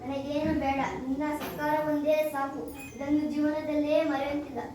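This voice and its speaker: Casting: Sudama, child plays a humble poor man, high voice, echoey hall, mic far off. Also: level tone, moderate pace, a crowd in the background, lightly acted. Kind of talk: school stage play. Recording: echoey hall, distant mic, faint hiss.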